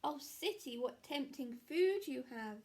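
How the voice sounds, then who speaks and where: A woman's voice: high voice, animated, measured pace, pitched above the performer's own voice. A woman voicing an earnest, apologetic, wide-eyed little girl in a read-aloud storybook. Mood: wondering.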